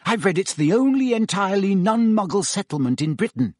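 A man's voice: mid-range voice, sing-song, moderate pace, husky, no echo, clean studio sound. A man voicing an earnest, brainy teenage girl who speaks eagerly and sharply in an audiobook. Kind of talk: audiobook character voice.

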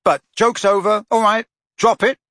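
Brief: a man playing a level-headed teen wizard, blunt, lively delivery, slightly husky. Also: a little high, unhurried, no echo, studio quality, full character voice. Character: puzzled, practical teenage boy wizard.

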